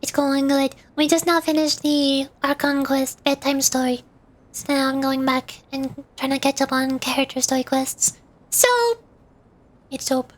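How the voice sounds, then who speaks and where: A woman's voice: sits high, monotone, unhurried. A woman live-streaming a game, chatting and reading dialogue aloud with playful, sarcastic asides. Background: game music.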